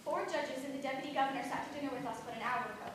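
An actress playing a frightened but defiant eighteen-year-old servant girl, with halting repeats and old-fashioned rustic speech. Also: sits high, close to an everyday voice. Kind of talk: stage play performance. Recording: echoey, far-off stage audio with background noise.

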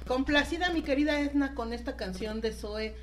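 Mexican woman hosting radio, slightly high voice. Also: moderate pace, a little hiss or hum. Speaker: radio host. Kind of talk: conversational radio interview.

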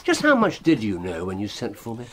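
An actor playing a brilliant, proud detective in a radio drama, with a British accent and articulate, deliberate, emphatic delivery. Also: male voice, lowish voice, huge pitch swings, a little hiss or hum. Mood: irritated. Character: brilliant, proud male detective, precise and relentless.

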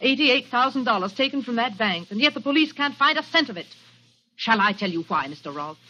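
A woman's voice: on the high side, expressive, fairly quick, sharp tone, breathy edge, full character voice. An actress playing a determined, accusing young woman in a 1940s radio drama, anxious yet defiant and intense. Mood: accusing, urgent. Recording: muffled 1940s radio recording.

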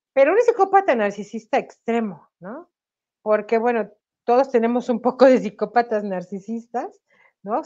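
A woman's voice: fairly high pitch, dull audio. A woman with a Mexican accent, a singer on a video call interview, talking animatedly with a slight cough. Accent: Mexican Spanish. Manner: animated, tag questions, laughs while talking. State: slight cough, sore throat. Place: home room. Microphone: webcam on video call.

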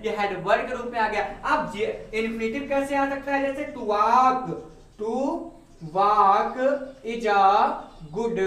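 A man's voice: very high-pitched, a bit bright. A young man teaching English grammar at a whiteboard, asking rhetorical questions and answering them himself, recorded from a distance in a room. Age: young adult. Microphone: camera at a distance. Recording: echoey room, voice off-mic.

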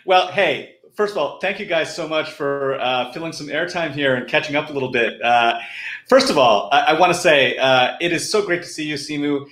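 A middle-aged man hosting an online fundraiser informally, with halting phrases and frequent restarts. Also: on the high side, a bit bright. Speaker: host of a live online fundraiser. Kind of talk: informal live stream hosting. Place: room at home, indoors. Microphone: computer video call. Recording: echoey room on video call.

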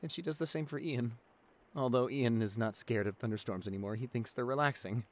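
A man playing a shy, anxious young boyfriend in an ASMR roleplay, speaking softly with hesitant stammers. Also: medium pitch, brisk, natural voice. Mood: calming down. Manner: hesitant, stammering, soft-spoken. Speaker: audio roleplay performer.

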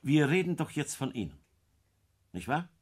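An actor playing a stern, sceptical police commissioner with a formal manner in a 1960s German radio play. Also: male voice, a little high, big pitch swings, normal speed, slightly rough. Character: stern male police commissioner interrogating a suspect. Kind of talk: German radio play acting around 1960.

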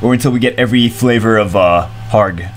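A man's voice: lowish voice, a little fast, faint music behind. Congested man rambling over game pulls. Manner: excitable, rambling, repeats himself. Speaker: gaming streamer. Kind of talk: casual gaming commentary. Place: small home room, indoors. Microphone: headset.

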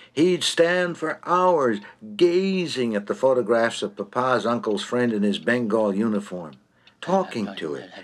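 Lively, unhurried male storyteller. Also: mid-range voice, slightly rough. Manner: droll, theatrical, precise storytelling. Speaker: storyteller, narrator. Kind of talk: scripted narration of a comic short story.

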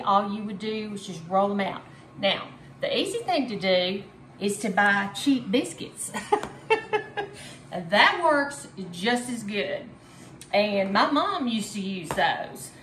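A woman in her fifties chats through a home recipe at an unhurried pace, homey and full of small fillers. Her voice bounces around a roomy kitchen, with a faint hum of ambient noise behind her. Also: medium pitch. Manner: chatty and homey, frequent fillers and laughs. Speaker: home cooking video host. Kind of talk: casual cooking demonstration. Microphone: camera on counter at medium distance.

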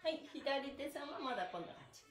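Middle-aged female fitness instructor: fairly high, very animated, sing-song and encouraging, recorded in a reverberant room. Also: fast. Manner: cheerful, encouraging, sing-song calls while demonstrating stretches. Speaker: stretching instructor. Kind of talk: live exercise lesson with chanted counting. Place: small bare indoor room. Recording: echoey room, mic at a distance.

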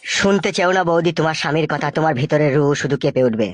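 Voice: mid-range voice, quick pace, natural voice. A performer voicing an aggrieved, loyal young man in a cartoon comedy, earnest and dramatic. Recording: faint music behind the voice.

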